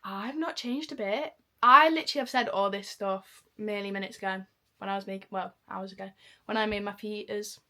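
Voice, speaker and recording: A woman's voice: mid-range voice, fairly even intonation, brisk, sharp tone. A young woman from Manchester chatting casually in a reaction vlog, mocking herself as she goes. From Manchester, England. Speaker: vlogger.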